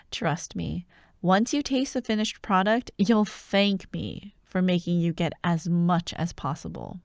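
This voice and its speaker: A woman playing an excitable, teasing young childhood friend who loves Halloween, in an ASMR roleplay addressed to the listener. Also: fairly low pitch, takes it slowly, no room sound, studio quality, exaggerated voice. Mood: bubbly. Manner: playful, teasing, animated, with frequent giggles and mock drama.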